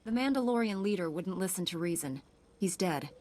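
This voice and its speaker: A woman's voice: fairly low pitch, lively intonation, a little fast, darkish tone, close to an everyday voice. An actress playing a resolute, earnest young female space knight in a video game. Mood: serious, concerned.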